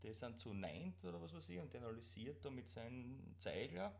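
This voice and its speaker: A man with an Austrian accent chatting casually as co-host of a live stream, in broad dialect with halting, repeated words. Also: medium pitch, animated, creaky.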